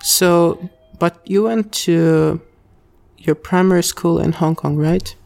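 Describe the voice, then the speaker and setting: A man hosting a podcast interview, speaking with careful, slightly halting phrasing. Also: on the high side, fairly even intonation, vocal fry, darkish tone, no echo. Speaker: podcast host. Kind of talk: casual interview.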